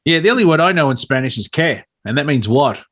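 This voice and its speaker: A man's voice: fairly high pitch, expressive, quick pace, fairly bright tone. A man co-hosting a talk show with casual, wisecracking banter. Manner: joking, wisecracking.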